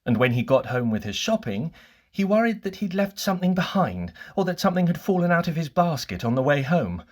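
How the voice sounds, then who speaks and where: A storyteller narrating a children's bedtime story in an expressive, scripted reading style. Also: mid-range voice.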